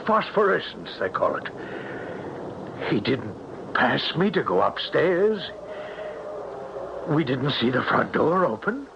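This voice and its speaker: An actor playing a worried, folksy elderly small-town father in an old-time radio drama, with a creaky, rambling, homespun way of talking. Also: high-pitched, exaggerated voice. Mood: bewildered, anxious. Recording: noisy, muffled 1970s radio broadcast.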